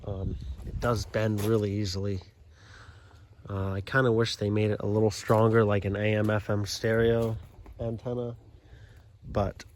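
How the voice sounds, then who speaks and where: American guy kinda low voice, takes his time, just showing his setup matter of fact, noisy handheld audio. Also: darkish tone. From South Jersey, United States. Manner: plainspoken, matter-of-fact, hesitant pauses. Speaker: hobbyist showing a car CB radio installation. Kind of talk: casual unscripted walkthrough. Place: in and around a car. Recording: clearly noisy handheld recording with clicks.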